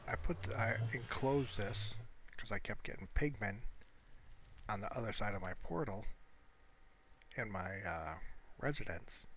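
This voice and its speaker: A man's voice: on the low side, lively intonation, a little slow, long pauses, fairly bright tone, slight background noise. A man giving casual, rambling gameplay commentary in a hesitant, meandering way, often correcting himself. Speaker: gamer narrating own gameplay.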